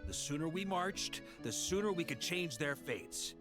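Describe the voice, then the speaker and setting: An actor playing a hearty, rugged knight commander in a video game, jovial and philosophical with a rousing, theatrical manner. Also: male voice, fairly high pitch, lively intonation, measured pace. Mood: reflective. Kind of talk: dramatic video game voice acting. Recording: music behind the voice.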